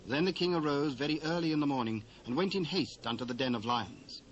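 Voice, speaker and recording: A British man narrating scripture from a script in a formal, measured storytelling manner. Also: a little high, highs cut off. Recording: old cassette with faint hiss.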